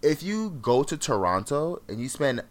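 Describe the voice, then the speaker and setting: A man's voice: mid-range voice, sing-song, normal speed. A man from Jamaica co-hosting a podcast, chatting casually in an emphatic way and laughing often. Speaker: podcast co-host. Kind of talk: casual chat.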